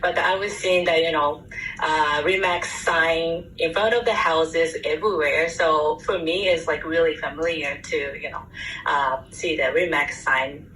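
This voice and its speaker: A woman in her forties from Japan, with a Japanese accent, answering interview questions as a guest real estate agent, halting and searching for words. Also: sits low, steady tone, fairly bright tone. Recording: distant echoey remote line with faint noise.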